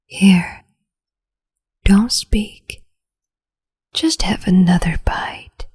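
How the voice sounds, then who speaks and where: A woman playing a cruel, capricious empress in an ASMR roleplay, whispering in a slow, teasing, domineering way. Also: mid-range voice, a little mellow, exaggerated voice. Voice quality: breathy whisper.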